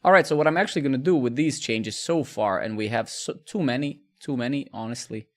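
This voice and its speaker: A man's voice: mid-range voice, a little fast. A man, chatting casually with viewers during a live coding stream, rambling and repeating words. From Serbia.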